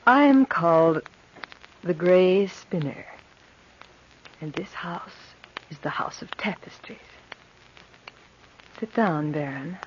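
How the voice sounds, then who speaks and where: Spooky fate spinner spirit, slow creepy murmur, old radio horror, muffled sound. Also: female voice, on the low side, animated, a little hiss or hum. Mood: eerie, inviting. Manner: measured, ominous, breathy murmuring. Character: eerie, serene female supernatural spinner of human fates. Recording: dull old radio recording.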